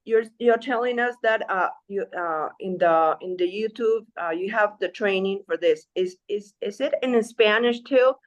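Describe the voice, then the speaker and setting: A woman's voice: medium pitch, normal speed, few pauses, highs cut off. A woman from Ecuador calling in with a question, speaking hesitantly with many fillers. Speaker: caller.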